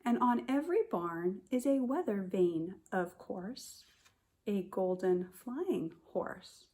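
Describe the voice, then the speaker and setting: A woman kindergarten teacher reading a picture book aloud to young children in a simple, expressive way, speaking to them directly. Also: medium pitch, slow pace. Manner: addresses children directly with questions and prompts.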